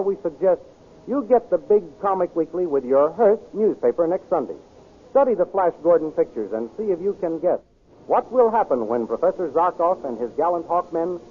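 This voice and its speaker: A man with an American accent announcing and narrating an old radio serial in a dramatic, emphatic manner. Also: sits high. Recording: tinny, noisy old radio recording.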